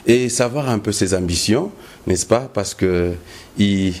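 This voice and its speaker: A man with a Congolese accent hosting a political interview, putting drawn-out rhetorical questions. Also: lowish voice, a little mellow. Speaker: host.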